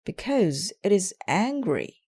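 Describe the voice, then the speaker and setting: A woman voicing an earnest, bumbling, excitable male dinosaur detective from a storybook, with emphatic delivery. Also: medium pitch, takes it slowly, breathy edge, pitched above the performer's own voice, exaggerated voice. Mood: earnest, puzzled. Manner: emphatic, exclamatory.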